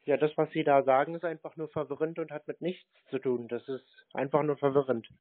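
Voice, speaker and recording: A man's voice: fairly high pitch, steady tone, a little fast, no room sound. A man arguing on a phone call in a contrarian, pedantic manner. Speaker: scam-baiter taking calls. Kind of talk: argumentative phone conversation. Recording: narrow, dull phone line.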